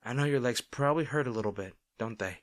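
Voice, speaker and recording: A tender boyfriend played by a man in an intimate roleplay audio. He speaks in a mid-pitched male voice, coaxing and gently firm, as if looking after a partner close by. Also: fast, natural voice. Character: caring, gently firm young boyfriend soothing an overworked partner.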